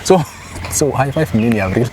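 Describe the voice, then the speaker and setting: Playful Kenyan man hosting, lively voice. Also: mid-range voice, fairly quick, noisy background. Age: 20s. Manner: playful, jokey, mixes Swahili slang and English. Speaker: host. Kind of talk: casual chat interview.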